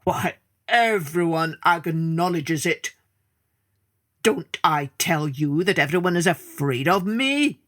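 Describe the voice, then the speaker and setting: A woman's voice: on the low side, expressive, slow pace, fairly bright tone. A woman voicing a proud, blustering male lion in a storybook reading, with a roaring, pompous manner.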